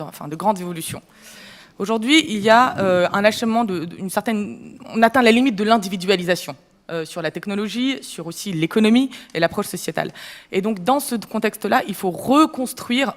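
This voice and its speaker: A woman in her thirties speaking fervently as a panelist at a conference debate, with frequent restarts. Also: medium pitch. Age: 30s. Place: conference amphitheater. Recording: long hall reverb.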